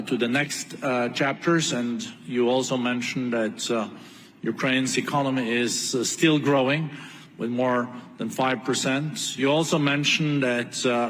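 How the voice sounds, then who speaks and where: Male moderator, halting, filler-heavy delivery; reverberant conference hall. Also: mid-range voice, a little hiss or hum. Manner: halting, lots of filler pauses. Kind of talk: onstage interview. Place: large conference hall with audience. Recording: long hall reverb, faint ambience.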